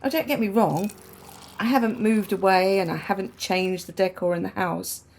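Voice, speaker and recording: A woman's voice: mid-range voice, steady tone, moderate pace, a little mellow, a little hiss or hum, lightly acted. An actress playing a frank, wry, grieving woman in a naturalistic radio drama, speaking reflectively and repeating phrases for emphasis. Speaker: radio play actor.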